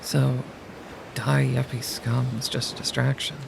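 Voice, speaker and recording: A man's voice: mid-range voice, flat delivery, a little slow, vocal fry, noisy background. A man playing a nervous civilian woman in an audio drama, stammering and hesitating timidly. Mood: curious, uncertain.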